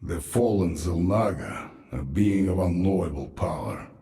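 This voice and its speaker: Casting: proud savage alien hunter, low and slow with big swings, for a video game. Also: male voice, lively intonation, creaky, dark tone, exaggerated voice. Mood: grim. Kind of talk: video game cutscene acting. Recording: strongly echoing chamber sound.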